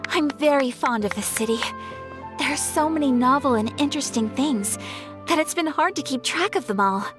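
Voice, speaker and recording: A woman's voice: high-pitched, expressive, normal speed, over music. An actress playing a refined, gracious young woman from abroad in a video game, poised and formally courteous.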